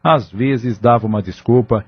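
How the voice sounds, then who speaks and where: A man narrating an audio drama in a solemn, measured reading with a Brazilian accent. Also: mid-range voice, slightly rough. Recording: dull lo-fi audio drama recording.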